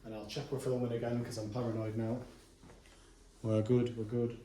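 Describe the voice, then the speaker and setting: A man working as a personal trainer, calmly talking a client through stretches in a gym, his voice a little hoarse. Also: medium pitch, moderate pace. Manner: relaxed, reassuring instructions with frequent fillers. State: going hoarse. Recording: echoey room from a distance.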